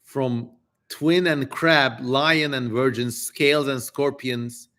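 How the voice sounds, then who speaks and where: A proud spirit of darkness, acted out by a man, declaims in a solemn and grandiose manner. The delivery is slow and measured, in the style of a dramatic reading of an ancient tale. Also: medium pitch, steady tone, a bit bright. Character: thirty-six proud demonic spirits, rulers of darkness.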